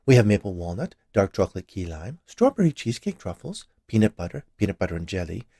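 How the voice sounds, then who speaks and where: A man's voice: low voice, sing-song, fast, mellow, dry recording, clean studio sound, pitched below the performer's own voice, heavily acted. A man reading a story, voicing a cheerful, chatty woman who keeps a confectionery shop and naively argues. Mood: cheerful, eager. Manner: annoyingly cheerful, rattling off lists.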